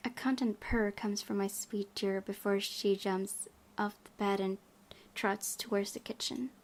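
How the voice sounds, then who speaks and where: A woman hosting a gaming video, reading story text aloud and chatting informally about it with expressive reactions. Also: medium pitch, a little slow, slight background noise. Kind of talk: reading a game's narration aloud with casual commentary.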